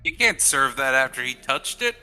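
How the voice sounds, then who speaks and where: A man's voice: medium pitch, big pitch swings, moderate pace, bright, forceful tone, clean tone. A man chatting casually as a player in a tabletop game. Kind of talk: casual chat.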